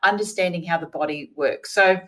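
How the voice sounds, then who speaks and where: A middle-aged woman with an Australian accent talks easily as a podcast guest, her voice sitting on the low side. She chats along freely, stopping to correct herself and fill gaps with small "ums.". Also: a little fast, fairly bright tone. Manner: chatty, with fillers and self-corrections. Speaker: guest, naturopath. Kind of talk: casual interview chat.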